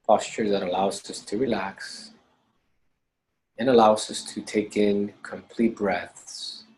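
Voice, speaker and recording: Male meditation instructor, low register, slow calm delivery in short measured phrases with long pauses. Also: rough recording.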